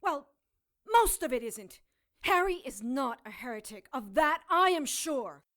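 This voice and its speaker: A high female voice of a defiant occult doctor, firing back sharp, steady replies. She also narrates in a cooler tone. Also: measured pace, heavily acted.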